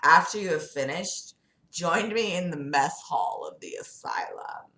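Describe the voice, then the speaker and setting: Devil played by a woman, male, leering, hushed commands, drawn-out pacing, storybook villain, roomy echo. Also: low voice, wildly swooping pitch, gravelly, heavily acted. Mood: cold commanding. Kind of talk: improvised voice in a story reading. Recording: roomy, echoing sound.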